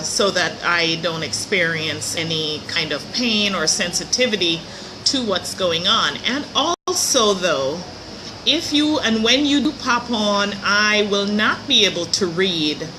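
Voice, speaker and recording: A middle-aged woman, a little hoarse, hosting her show in a chatty, upbeat live-stream talk from a hotel room. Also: mid-range voice, noisy background. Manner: chatty, upbeat, with light laughs. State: slightly hoarse. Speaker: host of a motivational show. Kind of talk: casual live-stream monologue. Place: hotel room near a pool.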